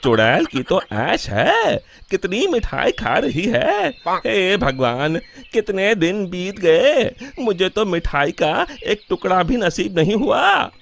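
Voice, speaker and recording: Very animated, creaky village man acted by a man. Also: high voice, slow, a little hiss or hum, full character voice. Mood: aggrieved. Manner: animated, plaintive. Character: excitable complaining village man, cartoon sweet-seller. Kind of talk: children's cartoon storytelling.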